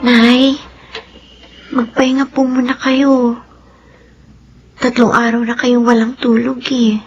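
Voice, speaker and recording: An actress playing a tearful, dutiful young daughter in a Filipino radio melodrama, earnest and respectful. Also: female voice, on the high side, flat delivery, slow, lo-fi sound, music in the background. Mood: tearful, consoling. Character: tearful, dutiful young daughter grieving her murdered sister.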